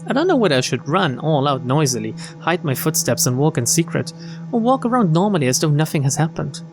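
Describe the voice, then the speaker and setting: A man's voice: a little high, very animated, quick pace, over music. A man streaming a game, reading its narration aloud expressively with wry, casual commentary. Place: game stream with music behind.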